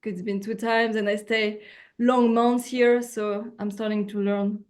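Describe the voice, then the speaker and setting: A French woman speaking English as her second language, an actress chatting casually on a video call, often pausing for words and restarting. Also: medium pitch, little pitch movement, a little mellow. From France. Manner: searching for words, frequent fillers and restarts. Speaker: actress, guest.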